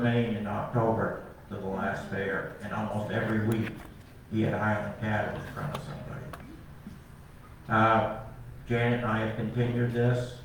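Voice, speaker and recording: An older man with a fairly low voice talks to a meeting crowd in a folksy, rambling way, joking now and then. He sounds far from the mic, in a big echoey hall with some faint noise. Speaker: cattle farmer presenter. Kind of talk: informal talk to an audience.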